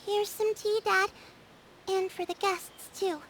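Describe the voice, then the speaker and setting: A woman's voice: very high-pitched, little pitch movement, takes it slowly, slight background noise, full character voice. A woman voicing a shy, sweet, polite little daughter in a video game, with a hesitant manner. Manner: hesitant, timid. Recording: loud game music behind the voice.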